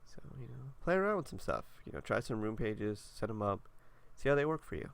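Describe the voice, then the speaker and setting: A young man talks in a relaxed, rambling way, filling gaps with casual fillers. His voice is congested and nasal. It sits fairly low and has a gravelly, fried edge. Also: fairly quick. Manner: laid-back, rambling, many fillers. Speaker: gaming video creator. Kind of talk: casual walkthrough commentary.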